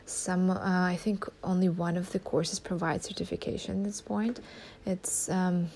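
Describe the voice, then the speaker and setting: A young woman talks through her podcast in a loose, rambling way, filling pauses with ums and restarting her sentences. Her voice sits low and a little gravelly, with a dark, mellow tone. Faint room noise lies underneath. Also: flat delivery. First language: not German. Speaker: podcast host. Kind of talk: casual solo podcast chat.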